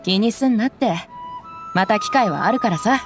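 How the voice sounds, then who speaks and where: A woman voicing a tough young mercenary who talks in a rough, casual way in a game cutscene. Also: mid-range voice, expressive, normal speed, soft background music, lightly acted. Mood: easygoing. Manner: rough, casual, gruff slang. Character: tough young female mercenary, blunt, easygoing. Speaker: game character.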